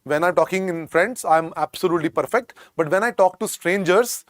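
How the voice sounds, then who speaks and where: A man's voice: high-pitched, fairly quick, no room sound, clean studio sound. Indian man lecturing, repeating every phrase. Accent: Indian English. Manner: repetitive, drills phrases, calls students "beta". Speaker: medical exam lecturer. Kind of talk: classroom lecture. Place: lecture room.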